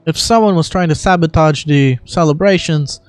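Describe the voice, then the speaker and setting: A woman's voice: deep voice, normal speed, darkish tone, dry recording, soft background music, close to an everyday voice. A woman acting an earnest, dutiful, concerned adventurer in scripted video game dialogue.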